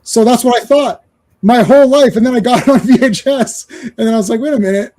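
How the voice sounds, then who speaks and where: A man's voice: extremely high pitch, fast, slight background noise. A man whose first language is Croatian, chatting on a podcast about horror movies and laughing as he tells stories. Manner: animated storyteller, laughs often. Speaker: podcast co-host, reviewer. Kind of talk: casual podcast chat.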